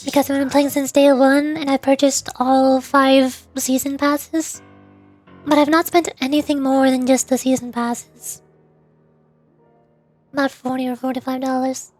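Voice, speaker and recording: A woman's voice: high voice, fairly even intonation, measured pace, soft background music. A woman chatting casually and in a rambling way as she livestreams a game. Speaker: streamer, VTuber. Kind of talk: casual livestream chat while gaming.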